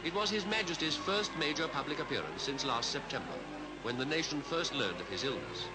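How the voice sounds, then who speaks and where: A man with a British Received Pronunciation accent reading scripted 1950s newsreel commentary in a formal, measured announcer style. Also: on the high side, very animated, low-quality recording. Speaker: newsreel narrator. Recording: vintage 1950s newsreel soundtrack with music.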